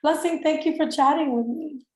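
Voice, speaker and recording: A woman hosting an interview, gushing and effusive, with halting fillers. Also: high voice, a little mellow, roomy sound. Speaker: interview host. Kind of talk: enthusiastic interview chat.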